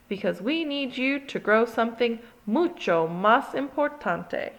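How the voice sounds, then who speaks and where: A woman's voice: fairly high pitch, expressive, unhurried, pitched above the performer's own voice. A woman voicing the proud leader of a gang of small circus dogs, speaking with mock-Spanish flair. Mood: bossy.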